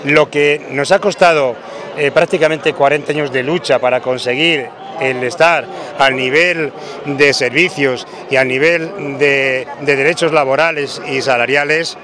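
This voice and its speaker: A man's voice: on the high side, fairly even intonation, measured pace. Hesitant man in a noisy crowd. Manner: hesitant, with many restarts. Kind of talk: street interview. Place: outdoors at a street protest. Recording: crowd noise behind.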